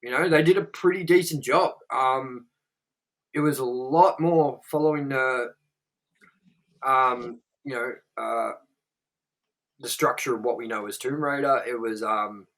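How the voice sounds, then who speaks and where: A man's voice: mid-range voice, unhurried, long pauses, a bit bright, low-quality recording. A man with an Australian accent, co-hosting a movie review chat, blunt and profane, rambling with lots of fillers.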